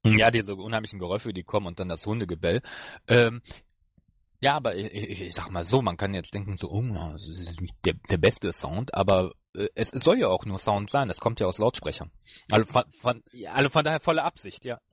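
A man co-hosting a podcast in casual chat about an audio drama, talking haltingly as he thinks aloud. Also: fairly low pitch, breathy edge, no room sound. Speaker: podcast co-host.

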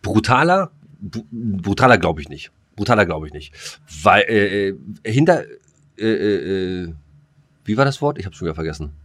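A man's voice: on the low side, sing-song, a bit bright, no room sound. A German man co-hosting a podcast in casual discussion, often stammering and repeating words as he makes his point. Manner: halting, stammers and repeats words while arguing. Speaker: podcast co-host.

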